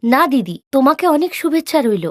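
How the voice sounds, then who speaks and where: A woman's voice: sits high, very animated, fairly quick, noisy background. A woman voicing an earnest, principled young village girl in a cartoon, politely pleading and reproachful. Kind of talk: cartoon character dialogue.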